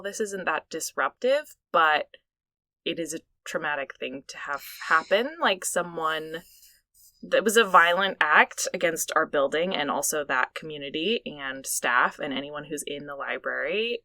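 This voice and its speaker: A woman's voice: sits low, lively intonation, moderate pace, sharp tone, dry recording. A young woman co-hosting a podcast in casual chat, laughing often, recorded in a small booth. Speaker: podcast co-host.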